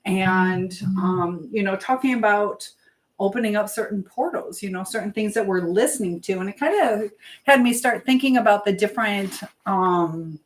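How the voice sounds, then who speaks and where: A woman's voice: medium pitch, moderate pace, rough recording. Rambling woman chatting casually on a live stream. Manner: rambling, drifting between topics while busy with chores. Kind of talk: casual live chat monologue.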